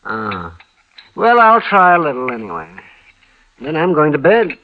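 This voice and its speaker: An American actor playing a fussy, weary older town doctor in a 1950s radio western, excitable and prone to repeating himself. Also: male voice, fairly high pitch, a little slow, slight background noise, exaggerated voice. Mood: fussy, genial. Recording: dull vintage radio recording.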